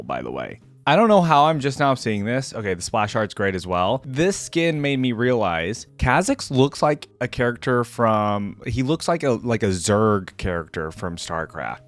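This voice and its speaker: A man's voice: medium pitch, a little hiss or hum. A man giving casual, slangy reaction commentary as a video host, thinking aloud as he goes.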